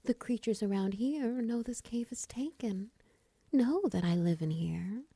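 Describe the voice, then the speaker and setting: Woman as a breathy, mellow, flirty spider woman. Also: a little high, no room sound. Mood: soothing, caring. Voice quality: breathy, husky, often near whisper. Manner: slow, coaxing, teasing endearments. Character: flirty, doting drider spider woman, seductive caretaker. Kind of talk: intimate ASMR-style romance audio roleplay. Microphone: close mic, dry.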